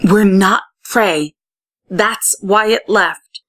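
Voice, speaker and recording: A woman's voice: medium pitch, extremely slow, a bit bright, dry recording, clean studio sound. Woman voicing an anxious young water-child girl, earnest. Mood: panicked self-soothing.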